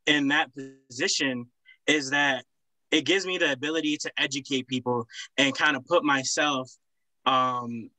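A young native Spanish-speaking man with a bright, forceful, mid-range voice explains himself earnestly. He restarts often, and the sound is dry. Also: mid-range voice, fairly even intonation, normal speed, no echo, low-quality recording. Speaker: panelist, business owner. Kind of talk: explanatory panel conversation. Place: indoor room. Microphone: computer on a video call.

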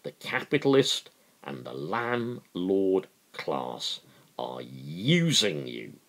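Slow, emphatic man with wildly swinging pitch. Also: sing-song, gravelly, fairly bright tone. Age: middle-aged. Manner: emphatic, deliberate, with dramatic pauses. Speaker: amateur reader and political commentator. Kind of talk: dramatic reading and impassioned political commentary. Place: small living room at home, indoors.

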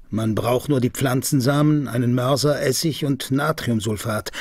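An actor playing a probing police detective in a crime radio drama, intense, brooding and terse. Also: male voice, mid-range voice, breathy edge, dry recording. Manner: terse, interrogating.

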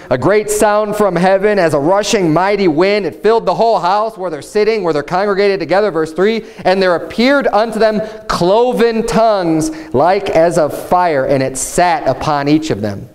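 Animated man preaching at a pulpit. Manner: animated preaching, voice rising to emphatic highs, reads scripture slowly. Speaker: pastor. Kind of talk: fundamentalist Baptist sermon. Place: church room, standing at a pulpit.

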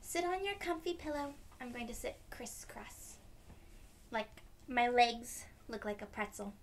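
A young woman reading a picture book aloud to children, gently explaining it in simple words. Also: on the high side, lively intonation, measured pace, slight background noise. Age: young adult. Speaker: read-aloud storyteller for children. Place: small room at home, indoors.

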